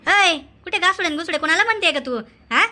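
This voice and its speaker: A woman's voice: very high voice, fast, bright, forceful tone. A woman performing cartoon comedy dialogue in a scolding, exclamatory, theatrical way. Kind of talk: animated comedy dialogue in colloquial Marathi.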